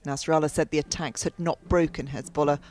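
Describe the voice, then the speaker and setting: A woman's voice: low voice, steady tone, brisk, darkish tone, a little hiss or hum. Woman reporting television news. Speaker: news correspondent.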